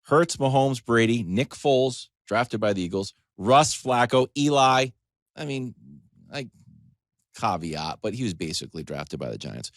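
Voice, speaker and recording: A man's voice: medium pitch, very animated, measured pace, dry recording, studio quality. A man hosting a sports talk show, delivering a comedic, conversational monologue with emphatic lists, joking asides and acted-out questions.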